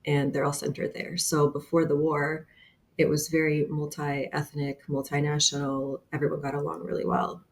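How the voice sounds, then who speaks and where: A woman in her thirties with a Bosnian accent speaks as an interview guest over a remote call. Her voice sits low and carries a gravelly fry. She talks earnestly, pausing on fillers as she goes. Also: monotone, slight background noise. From Bosnia and Herzegovina. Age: 30s. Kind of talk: casual interview.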